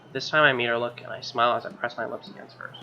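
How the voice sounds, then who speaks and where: A man's voice: mid-range voice, steady tone, very fast, highs cut off. Casual man doing game commentary over music. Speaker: let's player reading a visual novel.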